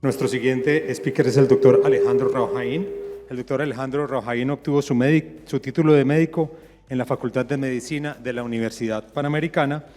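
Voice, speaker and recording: A man moderating a medical conference session and reading out a speaker's biography in a brisk, formal way. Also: medium pitch, steady tone, darkish tone, soft background music. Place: large conference hall. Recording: long hall reverb.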